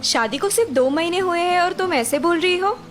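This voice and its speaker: A woman's voice: very high-pitched, expressive, fairly quick, faint music behind, voice pushed up. A woman voicing a bold young village wife who talks brashly and urges her friend on. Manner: brash, encouraging.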